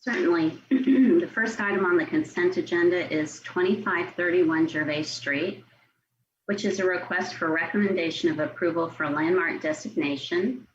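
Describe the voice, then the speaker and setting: A woman's voice: on the low side, moderate pace. An American woman speaking as a city staff member, formally reading out procedure and agenda items at a public online meeting. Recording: muffled online meeting audio, faint noise.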